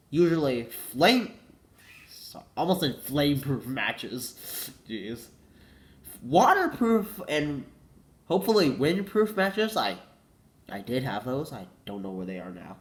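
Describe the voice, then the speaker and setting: A man's voice: medium pitch, very animated, unhurried. A teenage boy with a cold, congested and coughing, rambling casually and correcting himself, saying "like" a lot. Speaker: vlogger.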